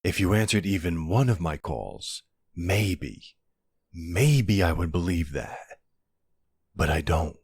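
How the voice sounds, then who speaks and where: A man plays an anxious boyfriend in an intimate roleplay drama. His voice is very deep and husky, and he talks slowly and earnestly. He keeps pressing his partner with pleading, exasperated concern. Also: a little mellow, no echo, clean studio sound, full character voice. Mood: worried, pleading.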